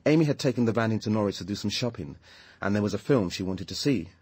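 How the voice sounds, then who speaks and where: An actor playing an earnest, troubled British activist, confiding and insistent, in a radio drama. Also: male voice, fairly low pitch, lively intonation, quick pace, a little mellow, slightly rough, dry recording. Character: earnest, troubled male anti-nuclear activist living in a caravan.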